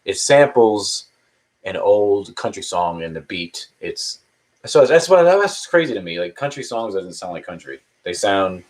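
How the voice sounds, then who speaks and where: A man's voice: lowish voice, very animated, unhurried. A man in his early thirties chatting casually on a live stream, answering viewer questions in a rambling, hesitant way with many restarts. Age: 30s. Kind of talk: casual chat.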